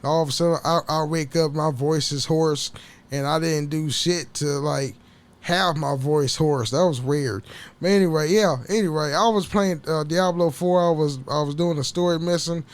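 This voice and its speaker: High-pitched man chatting, rambling and stammering. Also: steady tone, moderate pace. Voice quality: voice prone to cracking. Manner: rambling, stammering, repeats words; frequent casual swearing. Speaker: podcast host. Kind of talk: casual solo chat.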